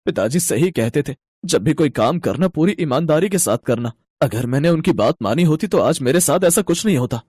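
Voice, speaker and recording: An earnest, troubled husband from a cartoon moral tale, played by a man. He sounds dramatic and plaintive, fairly high for a man and very animated, in a dry recording. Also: a little fast, slightly rough, no echo. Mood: despairing.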